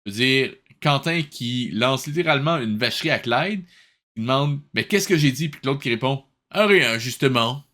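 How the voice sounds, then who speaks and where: A man's voice: medium pitch, animated, normal speed, sharp tone. A man with a Québécois accent hosting a reaction show, giving casual, incredulous and colloquial running commentary.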